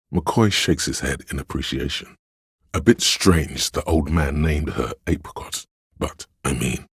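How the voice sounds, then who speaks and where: A man playing a solemn, all-knowing inner-voice narrator in a video game, with a gravelly, husky voice and a measured, literary delivery. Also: very deep voice, no room sound, clean studio sound, heavily acted. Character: omniscient, solemn inner-voice narrator of police camaraderie. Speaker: voice actor narrating a character's inner voice.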